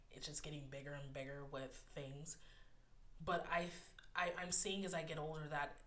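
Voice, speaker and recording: Tearful young woman, bright nasal voice. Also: deep voice, echoey room. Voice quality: a bit nasal. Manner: candid, emotional, laughs often, fillers like "like" and "um". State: close to tears. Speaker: podcast host. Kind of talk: personal solo podcast talk.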